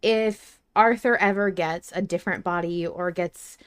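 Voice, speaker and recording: A woman co-hosting a podcast in casual fan discussion, talking in an animated, informal and teasing way. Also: lowish voice, measured pace, clean tone, dry recording, studio quality. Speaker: podcast co-host.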